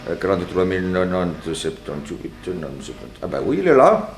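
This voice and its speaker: An obliging, rustic parcels clerk in a 1960s French radio drama, recorded in a roomy echo. He is chatty and easily flustered, hesitating and muttering with a very lively pitch. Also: brisk. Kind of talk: 1960s French radio detective serial acting.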